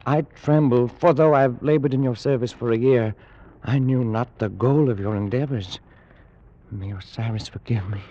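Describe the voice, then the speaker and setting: An actor playing a pious, fearful servant of an ancient priest, earnest and formal in an old-time radio drama. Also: male voice, mid-range voice, normal speed, warm tone, natural voice. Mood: anxious. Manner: earnest, formal, archaic phrasing. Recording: muffled old radio recording.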